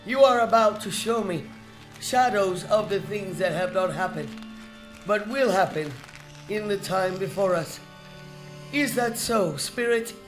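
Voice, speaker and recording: Guy doing scared old miser in a radio play, slow solemn pleading, faint music behind. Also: high voice, low-quality recording. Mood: awed, fearful. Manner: solemn, halting, beseeching. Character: frightened, repentant old miser pleading with a ghost. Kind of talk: radio drama acting.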